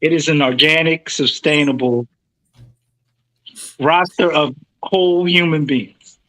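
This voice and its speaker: A man's voice: a little high, fairly even intonation, slow, sharp tone, no room sound, rough recording. A man with a New Orleans accent co-hosting a casual sports podcast and making wry, playful jokes. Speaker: podcast co-host.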